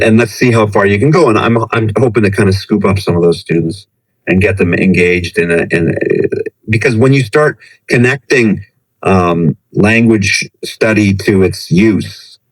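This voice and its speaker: A middle-aged man, a professor who teaches English, talking through his teaching experience in a relaxed podcast chat, often pausing and restarting his sentences. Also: low-pitched, low-quality recording. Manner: reflective, hesitant, many restarts and fillers. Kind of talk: conversational podcast interview.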